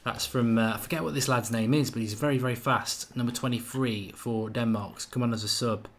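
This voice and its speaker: A man's voice: mid-range voice, fairly even intonation, fairly quick. Chatty man with a slight Irish lilt. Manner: chatty, thinks aloud, reads out viewer comments. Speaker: host of a live match watchalong. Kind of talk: live football chat and commentary.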